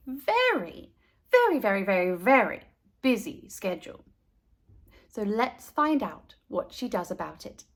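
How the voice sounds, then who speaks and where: A woman reading a picture book aloud to young listeners as a storyteller, deliberate and emphatic, speaking to them directly. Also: a little high. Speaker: read-aloud storyteller for children. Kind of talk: expressive picture book narration.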